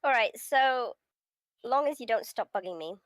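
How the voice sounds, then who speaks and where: A put-upon teenage schoolboy, voiced by a woman, sardonic and grumbling. The voice is fairly high and recorded very dry, in the style of a visual novel read aloud. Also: lively intonation, moderate pace, a bit bright, dry recording. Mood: worried. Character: beleaguered, sarcastic teenage schoolboy protagonist.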